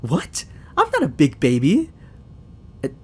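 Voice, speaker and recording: A man's voice: a little high, huge pitch swings, measured pace, a little mellow, slight background noise. A young man acting a shy, flustered barista who is secretly an agent in an ASMR roleplay drama, hesitant, stammering and apologetic. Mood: pained, indignant. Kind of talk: ASMR roleplay, acted dialogue spoken to the listener.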